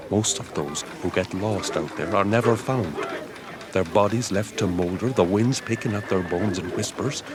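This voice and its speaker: A blunt, sceptical manservant of a gothic manor, played by a male actor. His low voice is measured, weary and grave, in audio drama style, with clearly audible ambient noise around it. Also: close to an everyday voice. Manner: measured, weary, plain-spoken.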